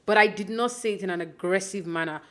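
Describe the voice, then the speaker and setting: A woman's voice: mid-range voice, fast talker, fairly bright tone. A young adult woman from Nigeria giving a casual, chatty recap of a reality show, full of fillers and restarts and acting out quotes.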